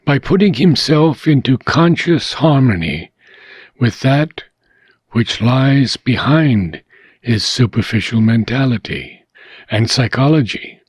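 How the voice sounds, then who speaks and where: A man reading philosophical prose aloud in a measured, deliberate way with frequent pauses. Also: mid-range voice, animated, husky, no echo. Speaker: reader of a philosophical book.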